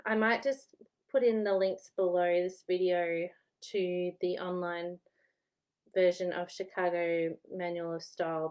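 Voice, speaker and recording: A woman's voice: lowish voice, a little mellow, smooth. Aussie woman in her 30s rambling cheerfully at home, laughs at herself a lot, voice a bit worn. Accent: Australian. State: slightly losing her voice. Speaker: historian giving study tips. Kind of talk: casual chatty vlog advice.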